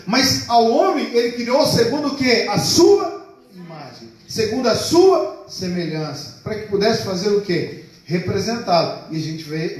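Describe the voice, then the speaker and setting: High-voiced man preaching in an echoey hall. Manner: call-and-response preaching, stretches key words slowly. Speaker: pastor. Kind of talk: sermon. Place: church hall. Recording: echoey hall, mic far off.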